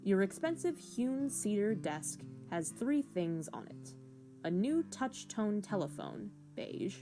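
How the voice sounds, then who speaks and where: A woman narrates a hard-boiled detective spoof in the second person. Her delivery is wry, with lively pitch movement and light music behind her. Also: slow.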